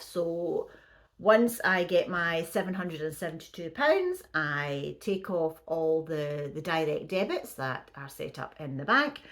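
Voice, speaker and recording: A woman, chatting casually to camera as a vlogger, her voice a little croaky and her talk full of restarts and ums. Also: low-pitched. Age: 50s. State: slightly croaky voice.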